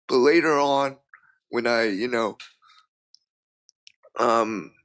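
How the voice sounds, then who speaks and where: Gravelly-voiced man, hesitant. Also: mid-range voice, slow pace, a bit bright. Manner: hesitant, many ums and restarts, says "you know" often. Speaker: guest. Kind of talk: casual interview chat.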